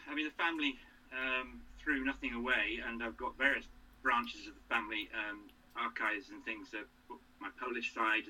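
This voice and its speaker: A man in his sixties from England, a guest in a casual interview, who talks haltingly with many fillers and restarts. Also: fairly low pitch, pushed and bright, slight background noise, rough recording.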